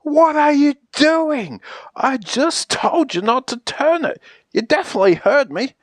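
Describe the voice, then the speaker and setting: A woman voicing an exasperated, pleading character in a children's picture book, theatrical and emphatic with drawn-out pleas. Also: on the low side, very animated, dry recording, studio quality. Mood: outraged.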